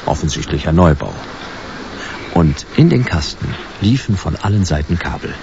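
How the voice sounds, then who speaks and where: A hard-boiled future private eye with a creaky, low voice. He is laconic and sardonic, telling his case like a noir radio play. The recording is dull and noisy. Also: big pitch swings, moderate pace, heavily acted. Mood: wry narrating. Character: world-weary hard-boiled male private detective of the future. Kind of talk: radio drama acting with first-person noir narration.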